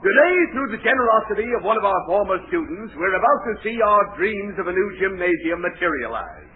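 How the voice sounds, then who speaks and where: A man's voice: high-pitched, expressive, a little fast, phone-quality sound, crowd noise. A man playing a formal, easily flustered school dean in a 1940s radio comedy. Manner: formal, stammering when flustered. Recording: thin band-limited 1940s radio, audience noise.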